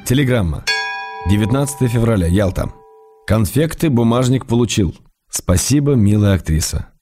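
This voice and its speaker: A gently ironic middle-aged writer in a radio drama; deep, warm, faint music under. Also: male voice, animated, normal speed. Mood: wry. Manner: dry, gently ironic, tender. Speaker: actor reading letters. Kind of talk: radio play letter reading. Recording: studio radio play with soft music.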